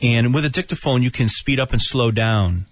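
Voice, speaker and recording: A male musician, a guest on a radio show, who explains things haltingly, restarting and searching for words. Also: medium pitch, no echo.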